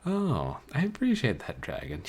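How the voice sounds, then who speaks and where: A man streaming a book reading, chatting casually with his chat in a calm, musing, halting way. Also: mid-range voice, husky. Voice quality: calming voice. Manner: musing, soft-spoken, halting. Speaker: streamer reading books aloud.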